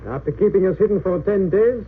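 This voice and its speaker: A thin, noisy old radio recording of an actor as a German sergeant turned spy. His high voice reports in a crisp, military manner. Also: a little fast, narrow, tinny audio, lightly acted. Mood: assured, accusing. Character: brisk German sergeant and smooth-lying spy witness. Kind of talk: 1930s radio drama acting.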